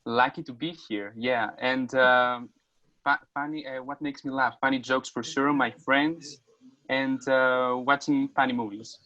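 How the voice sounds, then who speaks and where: A young man, still in his teens, talks. His pitch is average and he speaks conversationally, as a student taking part in a panel. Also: fairly even intonation, unhurried, pushed and bright, muffled recording. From Greece. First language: Greek. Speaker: physiotherapy student, panel participant. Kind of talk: conversational self-introduction and reflection.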